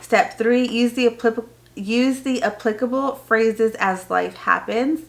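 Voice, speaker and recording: A woman's voice: fairly high pitch, measured pace, vocal fry. A woman vlogger giving an informal, explanatory unboxing, chatting casually and reading printed bits aloud as she goes. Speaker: homeschooling parent vlogger.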